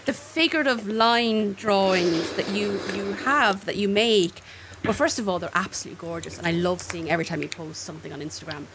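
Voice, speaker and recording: A woman's voice: medium pitch, a little fast, background noise. A woman interviewing an artist, admiring and searching for words as she asks her questions. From Ireland. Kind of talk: conversational interview.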